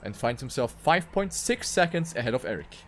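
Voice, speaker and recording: A man's voice: medium pitch, very animated, brisk, fairly bright tone, faint music behind. A man giving live commentary on a video game race.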